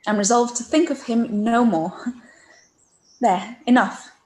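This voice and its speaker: A woman voicing a gentle, kind-hearted young woman in a live-read radio play, soft and restrained. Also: on the high side, takes it slowly, low-quality recording.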